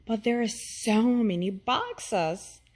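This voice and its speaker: A woman's voice: on the high side, slow pace, a little mellow. A woman voicing a shy little girl platypus in a children's storybook, speaking in a simple, childlike way. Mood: soft, friendly.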